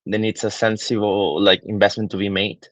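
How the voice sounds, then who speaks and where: A man's voice: on the low side, flat delivery, normal speed, a bit bright, no echo. A man in his twenties from Peru, a digital marketing consultant answering interview questions thoughtfully, with many fillers and restarts. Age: 20s.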